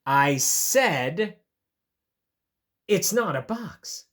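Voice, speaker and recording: A woman's voice: rumbling low, steady tone, unhurried, a little mellow, pitched below the performer's own voice. A woman voicing a small boy bunny from a picture book, stubborn, insistent and frustrated. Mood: frustrated, emphatic. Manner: emphatic, insistent. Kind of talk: picture book read-aloud.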